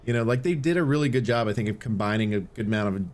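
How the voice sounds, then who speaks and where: A man's voice: fairly low pitch, lively intonation, very fast. A man co-hosting a gaming podcast in casual, conversational chat. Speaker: podcast co-host.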